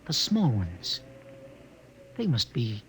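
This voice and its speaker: An American actor playing a young husband on the run, awed, tender and anxious, in an earnest, dramatic 1950s radio play style. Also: male voice, mid-range voice, huge pitch swings, takes it slowly, a bit bright, soft background music, full character voice. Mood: tender, hushed. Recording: noisy 1950 radio transcription.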